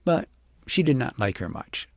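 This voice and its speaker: A man reading a children's story aloud as a scripted, expressive audiobook narrator. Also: mid-range voice, moderate pace, gravelly, no echo, clean studio sound.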